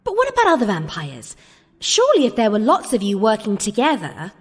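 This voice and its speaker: A woman's voice: fairly high pitch, wildly swooping pitch, a little fast, breathy edge, long reverb tail, heavily acted. An actress playing an ambitious, exasperated mortal woman hungry for power in an audio drama, speaking with urgent, insistent persuasion. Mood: impatient. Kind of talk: comic audio drama acting.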